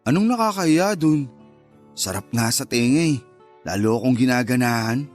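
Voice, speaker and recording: A man's voice: medium pitch, expressive, moderate pace, soft background music, lightly acted. A man acting a cocky, teasing and easily wounded secret lover, with a Filipino accent, in a radio love drama.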